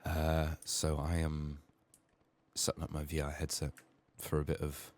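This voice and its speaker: A man with a sick, strained voice murmuring soft-spoken ASMR gaming commentary, sometimes near a whisper, thinking aloud close to the mic. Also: monotone, measured pace, dry recording. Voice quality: husky, gravelly, very low. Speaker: game streamer making a playthrough.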